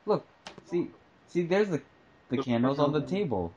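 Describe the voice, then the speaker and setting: Animated man on a dull lo-fi mic. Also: on the high side, normal speed, slightly rough. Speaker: co-host of a film-watching show. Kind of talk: casual film commentary with friends. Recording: slightly dull lo-fi microphone.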